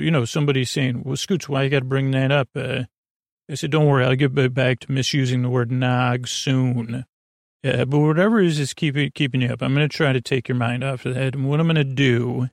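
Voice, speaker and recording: A man with a creaky voice hosting a sleep podcast, speaking in a meandering, halting, stammering bedtime monologue that drifts into tangents. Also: mid-range voice, no echo, clean studio sound. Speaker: podcast host. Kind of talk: rambling bedtime monologue to help listeners sleep.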